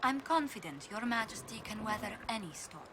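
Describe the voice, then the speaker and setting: A tactful court ambassador voiced by a woman in a fantasy game, medium in pitch. She speaks with formal courtesy over clear ambient noise. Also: animated, a little fast, natural voice. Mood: deferential, reassuring. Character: polite, diplomatic woman ambassador at a royal court. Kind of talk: fantasy video game dialogue.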